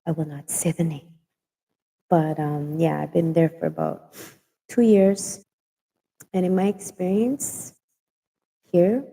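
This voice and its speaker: A woman in her late forties hosts a casual live chat. She speaks very slowly, in a gravelly, somewhat low voice. She often pauses with fillers and restarts. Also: warm tone, in a large hall, poor audio. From Hawaii. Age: late 40s. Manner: slow, halting, many fillers and restarts. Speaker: host of a live stream.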